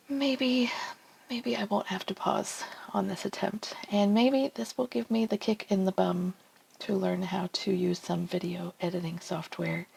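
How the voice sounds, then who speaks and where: A woman's voice: medium pitch, gravelly, a little mellow, breathy edge. A woman from New Zealand with a New Zealand accent, chatting casually and enthusiastically to camera as a tarot channel host, with lots of ums and asides.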